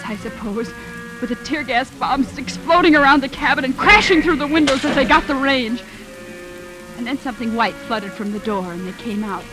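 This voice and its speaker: Woman acting high-voiced, emotional young 1940s radio heroine. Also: natural voice, low-quality recording. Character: emotional young bride-to-be, earnest and wounded, narrating her story. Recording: 1940s radio drama with music behind.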